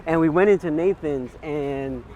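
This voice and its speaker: A man's voice: a little high, normal speed, a little mellow, dull audio. A young man chatting casually and playfully in slang while out as a street photographer. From New York. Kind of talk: casual chat while out shooting. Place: outdoors at a busy urban beachside boardwalk. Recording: busy outdoor crowd and street noise.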